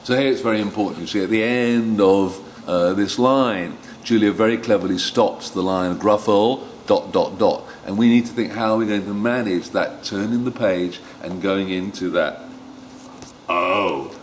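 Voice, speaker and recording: A man teaching how to read stories aloud to children, speaking deliberately and expressively, with coaxing questions. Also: on the low side, a little hiss or hum. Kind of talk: instructional talk with dramatic children's story reading.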